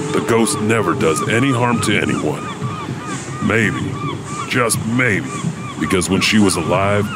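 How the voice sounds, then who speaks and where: A man playing a mysterious janitor who hosts a horror anthology, telling ghost stories with brooding, halting pauses. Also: low-pitched, full character voice. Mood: wistful, brooding. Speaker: host and storyteller of a horror anthology. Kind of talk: scripted ghost-story narration. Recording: music under the voice.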